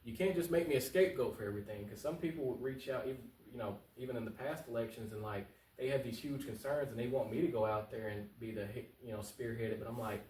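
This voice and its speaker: A man's voice: medium pitch, fast talker, few pauses, darkish tone. A man giving casual, conversational interview answers as a political candidate, colloquial and emphatic, repeating his points for stress. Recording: echoey room, distant mic, faint noise.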